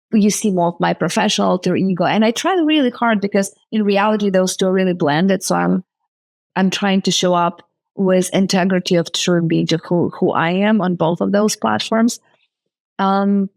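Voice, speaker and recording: A woman's voice: lowish voice, gravelly, darkish tone, no echo. A woman from the former Soviet Union, a venture capitalist guest, speaking thoughtfully with many fillers and restarts. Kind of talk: casual interview talk.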